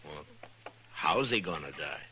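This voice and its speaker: An actor playing a wry, plainspoken American frontier marshal in a 1950s radio western. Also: male voice, very deep voice, expressive, unhurried, bright, forceful tone, heavily acted. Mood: sober. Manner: dry, teasing, plainspoken. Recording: faintly noisy vintage radio recording.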